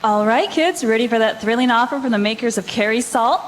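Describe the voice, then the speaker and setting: A woman as an excited vintage radio announcer, enthusiastically urging children to buy. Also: a little high, a little fast, in a large hall, slight background noise, close to an everyday voice. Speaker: commercial announcer.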